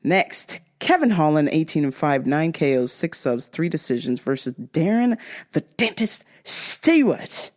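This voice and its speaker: Lively, slow-talking woman host. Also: low voice. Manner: animated, crude, joking, frequent laughter. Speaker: podcast host. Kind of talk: casual chat making fight picks.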